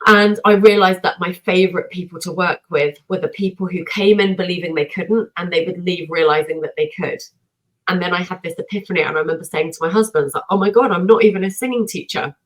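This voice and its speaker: A woman singer and vocal coach, a guest on a podcast, telling her story in an energetic, self-deprecating way. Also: fairly low pitch, poor audio. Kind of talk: casual podcast conversation.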